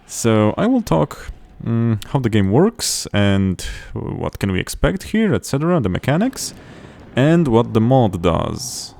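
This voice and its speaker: A man's voice: medium pitch, very animated, measured pace, creaky, darkish tone. A young man chatting casually as host of a strategy-game playthrough, often restarting his phrases as he explains. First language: Polish. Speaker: gaming channel host. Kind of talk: casual gameplay commentary.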